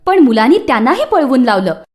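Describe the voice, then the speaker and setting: A woman narrates a children's myth from a script. Her voice is high and very animated, with expressive, dramatic storytelling delivery. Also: brisk, a little hiss or hum.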